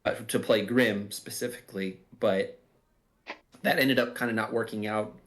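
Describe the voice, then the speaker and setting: A man's voice: on the low side, fairly even intonation, normal speed. A man giving casual behind-the-scenes commentary, explaining things in a reflective, self-deprecating way. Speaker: audio drama writer and voice actor.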